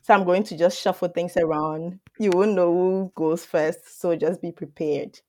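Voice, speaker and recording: A woman's voice: lowish voice, fairly even intonation, normal speed, warm tone. A woman hosting a student podcast, upbeat and welcoming as she introduces her guests. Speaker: podcast host.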